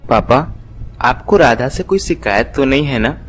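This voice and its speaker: A man playing a greedy, smooth-talking young son in a Hindi moral story. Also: mid-range voice, lively intonation, normal speed, faint music behind, close to an everyday voice. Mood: coaxing.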